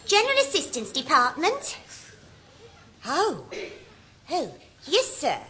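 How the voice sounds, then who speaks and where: An actress playing a deferential yet cheeky English office secretary in a radio sitcom. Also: female voice, high-pitched, wildly swooping pitch, slow, pushed and bright, slight background noise, exaggerated voice.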